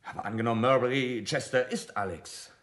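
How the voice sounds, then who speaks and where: An actor playing a brisk, sceptical police inspector in a German radio crime drama, blunt, formal and insistent. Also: male voice, medium pitch, fairly bright tone, natural voice.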